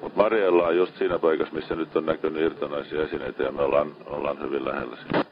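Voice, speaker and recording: Male ship officer, extremely low voice, coordinating over a dull marine radio link. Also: little pitch movement. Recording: muffled, band-limited marine radio transmission.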